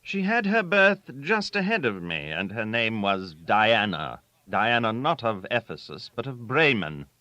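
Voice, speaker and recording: A man narrating a literary story aloud in a theatrical, deliberate way, with dramatic pauses. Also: on the low side, sing-song, pushed and bright, dry recording, muffled recording. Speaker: audiobook reader.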